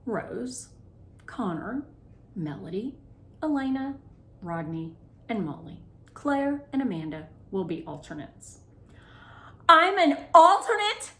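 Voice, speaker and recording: A woman reading a children's novel aloud expressively, with deliberate phrasing. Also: mid-range voice, big pitch swings, dark tone, reverberant. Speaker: read-aloud reader.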